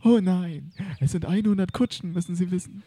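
A man's voice: high voice, brisk, warm tone, husky, pitched above the performer's own voice. A man voicing a timid, overly polite male butler in a raised voice. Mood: whiny. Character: put-upon male butler.

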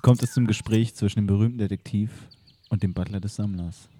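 A man's voice: fairly low pitch, fairly even intonation, a little fast, dark tone, a little hiss or hum. A man reading a scripted introduction to a story as its narrator.

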